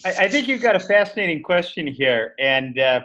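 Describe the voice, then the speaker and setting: A man's voice: on the high side, sing-song, a little fast, pushed and bright, muffled recording. A man teacher giving a thoughtful, explanatory answer in an online discussion over a video call.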